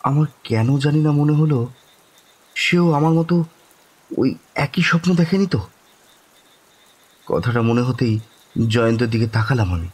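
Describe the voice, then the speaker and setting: A man acting as the shaken first-person narrator of a horror audio story, halting and suspenseful, with dramatic pauses. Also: medium pitch, lively intonation, a little mellow, breathy edge. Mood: uneasy. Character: shaken, haunted man recounting a ghostly dream.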